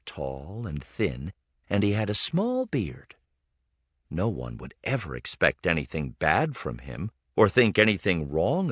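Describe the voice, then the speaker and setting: Low-voiced, animated male storyteller. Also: husky, no room sound, clean studio sound. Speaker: storybook reader. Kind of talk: expressive scripted read-aloud narration of a children's story.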